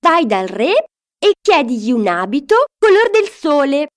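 A fairy godmother from a storybook, as a woman narrator plays her. She instructs emphatically, scheming and encouraging. Her pitch is high and very animated. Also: measured pace.

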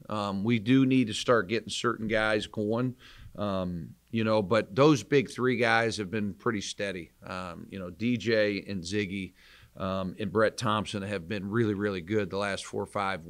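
Low-voiced American man, a coach at a presser. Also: a little slow. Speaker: college basketball head coach. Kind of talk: postgame press conference answers. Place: indoor press conference room, seated at table. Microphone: microphone on table stand.

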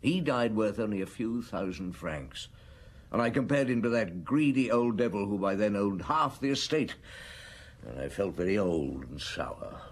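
An actor playing a shrewd, world-weary police chief inspector in British radio drama, measured and probing, with a British accent. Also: male voice, lowish voice, expressive, husky. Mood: weary, reflective. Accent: British English.

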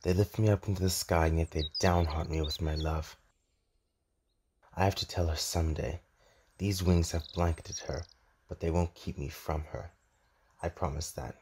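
A man voicing a brooding, lovelorn immortal in an animated fantasy, with an earnest, melodramatic delivery. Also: low voice, moderate pace, pauses often, a little hiss or hum, close to an everyday voice. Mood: yearning, resolute. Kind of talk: dramatic animated fantasy dialogue.

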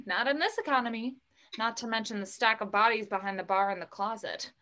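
A woman's voice: fairly high pitch, lively intonation, fairly quick, sharp tone. A young woman reading the part of a protective, wry widowed mother in a play over a video call. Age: young adult. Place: room at home. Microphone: computer mic on video call.

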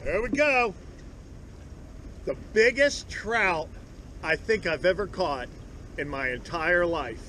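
Middle-aged man, Southern US accent, highish voice, folksy fishing-host banter, recorded outdoors on a boat with some engine noise. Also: expressive, takes it slowly, sharp tone. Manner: folksy, salty-tongued joking. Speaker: charter fishing captain and host. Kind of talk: casual chat while fishing. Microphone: action camera on the boat.

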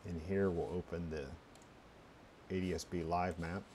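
A man giving a casual, unscripted screencast walkthrough, halting and muttering as he thinks aloud through each step. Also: sits low, little pitch movement, a little mellow, slight background noise. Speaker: tutorial presenter.

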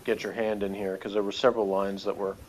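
A man giving his opinions in a casual review chat, talking in a halting, conversational way. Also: lowish voice, little pitch movement, a little hiss or hum, poor audio.

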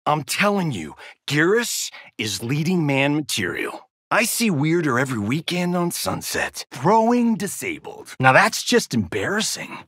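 A cocky American action-movie star from a fighting game. His voice is fairly high for a man and swings widely as he swaggers through sarcastic one-liners. He takes his time over each showy line, in a very dry, close recording. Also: big pitch swings, a little slow, few pauses, fairly bright tone, breathy edge, no echo, exaggerated voice. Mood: sarcastic, smug.

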